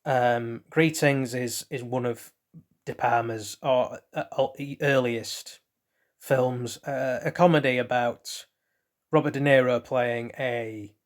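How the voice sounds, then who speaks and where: Man, quiz host, slow pace, mid-pitched voice, casual trivia narration, hesitant rambling asides. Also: fairly even intonation. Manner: reads questions, then rambles loosely with hesitant asides.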